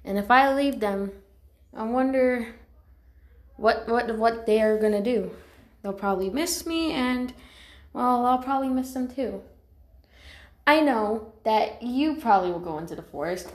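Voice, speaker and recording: A woman voicing a friendly, excitable young female house cat with an earnest, slightly hesitant delivery, in amateur cartoon voice lines. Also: on the high side, lightly acted. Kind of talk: amateur character voice lines, acted. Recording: echoey room.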